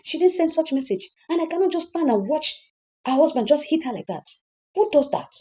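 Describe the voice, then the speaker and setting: A woman's voice: high-pitched, lively intonation, a little fast, mellow, muffled recording, voice pushed up. A woman voicing a fiercely protective, defiant grown son in a story, emphatic and repeating threats. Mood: defiant, pleading.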